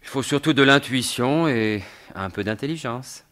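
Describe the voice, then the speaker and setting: An actor playing a wry, self-mocking gentleman burglar in a theatrical, colloquial 1950s French radio drama style. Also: medium pitch, normal speed, natural voice. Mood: smug, amused. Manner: theatrical, talks to himself, colloquial.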